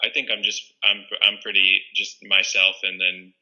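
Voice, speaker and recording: A Canadian man chatting casually as an interview guest, halting and understated. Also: low-pitched, level tone, sharp tone, poor audio. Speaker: stand-up comedian, guest.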